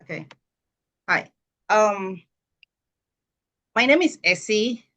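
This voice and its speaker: A woman from Nigeria with a Nigerian accent, giving a measured, explanatory talk as a massage therapist over a video call. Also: mid-range voice, lively intonation, fairly bright tone. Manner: measured, explanatory, self-correcting.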